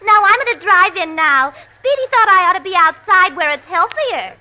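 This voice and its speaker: A woman's voice: very high-pitched, lively intonation, moderate pace, bright, forceful tone, full character voice, poor audio. A woman acting as a perky, slangy, brassy young waitress girlfriend in 1940s radio comedy. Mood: chirpy.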